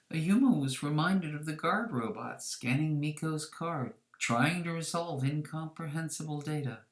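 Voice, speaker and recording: A woman's voice: deep voice, roomy sound. A woman author reading her own story aloud as scripted narration.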